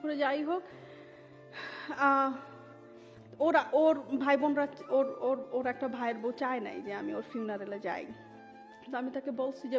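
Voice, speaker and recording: A woman's voice: high-pitched, a little mellow, lo-fi sound. A woman telling her personal story emotionally as an interview guest, mixing in English words.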